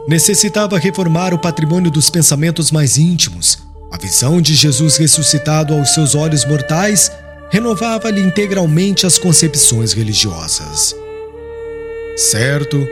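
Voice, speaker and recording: Male narrator, solemn and expressive, animated intonation, scripted religious storytelling. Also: a little high. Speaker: audiobook narrator.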